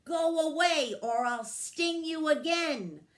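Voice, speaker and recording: A woman's voice: high voice, takes it slowly, voice pushed up. A woman voicing a small, feisty young girl fairy who is boastful and defiant, in a children's storybook read-aloud. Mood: fierce, shrieking. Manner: boastful, emphatic.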